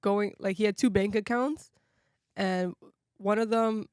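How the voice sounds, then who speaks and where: A woman's voice: medium pitch, steady tone, unhurried, darkish tone, clear, resonant tone, no echo. Casual woman podcaster, dry close sound. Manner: informal, peppered with "like". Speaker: podcast panelist. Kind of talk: casual chat.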